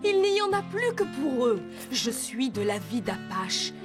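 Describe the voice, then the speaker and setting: A sulky, jealous cartoon horse, talks in a fairly high voice. It is a French animated series dub, with music clearly playing behind the lines. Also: female voice, unhurried. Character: jealous, sulky talking horse feeling neglected.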